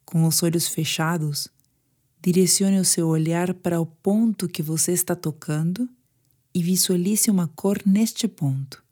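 Slow, low-voiced Brazilian woman guiding meditation. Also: steady tone. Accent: Brazilian Portuguese. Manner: slow, soothing, hushed delivery with pauses. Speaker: meditation guide. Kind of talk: guided sleep meditation narration.

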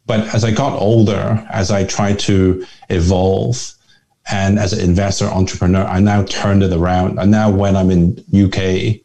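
A man from Northern Ireland speaks as an interview guest with a very low, warm and mellow voice. He tells his story in a relaxed, reflective way and often repeats a word while he searches for the next one. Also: moderate pace. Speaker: guest, investor. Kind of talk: conversational storytelling.

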